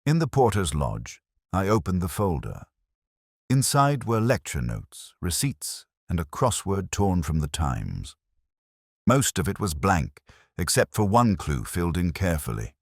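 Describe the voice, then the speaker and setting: A man reading a literary detective story in the first person, with measured, reflective, melancholy phrasing. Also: low-pitched, very animated, dry recording, studio quality. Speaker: narrator of a detective mystery story.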